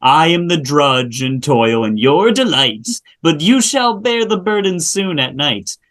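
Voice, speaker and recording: A woman's voice: low-pitched, very animated, a little slow. A woman reading the part of a weary, achy, teasing old nurse from a Shakespeare play, with huffing exclamations. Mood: brisk, teasing. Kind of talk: Shakespeare play read aloud.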